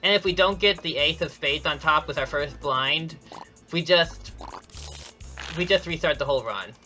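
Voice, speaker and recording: A man's voice: on the high side, normal speed, pushed and bright, poor audio. A man commentating his own speedrun live. He explains each step casually, often restarting sentences, with light chuckles. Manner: explanatory, frequent restarts and self-corrections. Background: game music.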